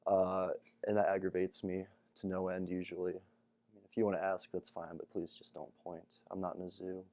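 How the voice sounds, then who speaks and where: Deep, mellow young man. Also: completely flat delivery, normal speed, no echo. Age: young adult. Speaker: college basketball player. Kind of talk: casual interview answers.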